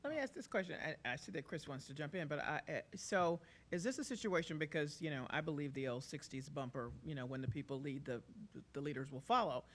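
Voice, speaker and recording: A woman's voice: sits low, lively intonation, fast talker, hardly any pauses. Woman moderator asking probing questions. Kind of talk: panel moderation.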